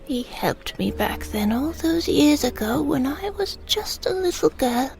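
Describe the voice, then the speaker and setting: A woman playing a frail, wistful elderly British mother remembering her wartime childhood in a hushed, breathy audio drama monologue. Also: sits high, lively intonation, soft background music, full character voice. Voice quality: breathy, whispery. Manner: slow, hushed reminiscing.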